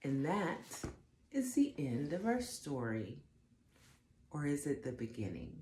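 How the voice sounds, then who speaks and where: Mellow, low-voiced woman reading a storybook. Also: sing-song, echoey room, a little hiss or hum. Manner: dramatic, deliberate emphasis for young listeners. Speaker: storybook reader for children. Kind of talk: expressive read-aloud of a picture book.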